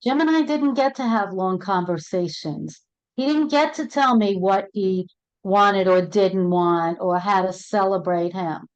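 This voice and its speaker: A woman's voice: medium pitch, unhurried, clear, resonant tone. A middle-aged woman with a Brooklyn accent, a co-host and end-of-life doula, speaking earnestly in a casual conversation and repeating words as she goes. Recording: dull lo-fi audio.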